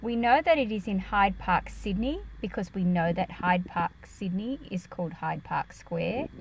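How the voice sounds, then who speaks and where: A woman's voice: medium pitch, slight background noise, poor audio. A woman reading a picture book aloud and explaining it to children in a careful, teacherly way. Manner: careful, explanatory, enunciated for young listeners.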